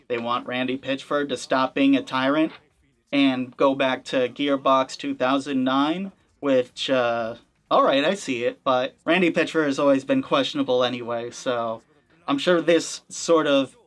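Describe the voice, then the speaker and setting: A man's voice: mid-range voice, fairly bright tone. A young man hosting a gaming channel, talking to camera in a casual, skeptical way, with wry asides. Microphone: camera-facing setup.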